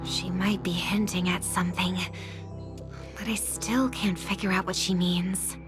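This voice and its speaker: An actress voicing a gentle, earnest and wistful young woman in a video game, speaking softly and with measured care. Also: on the low side, fairly even intonation, lightly acted. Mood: soft, pensive. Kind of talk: video game voice acting, English dub. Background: background music.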